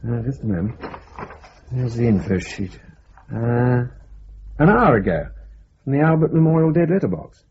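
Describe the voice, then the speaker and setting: An actor playing a brisk, helpful intelligence office worker in a radio drama, with a matter-of-fact manner. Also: male voice, mid-range voice, expressive, highs cut off, a little hiss or hum, close to an everyday voice. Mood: intrigued.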